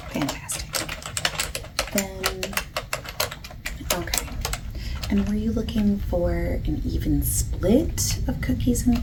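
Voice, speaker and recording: A woman playing a friendly, patient bakery salesperson in a soft-spoken ASMR roleplay, often breathy and near a whisper, gently overexplaining. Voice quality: low-pitched, creaky, soft. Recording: lo-fi hissy close recording, keyboard typing.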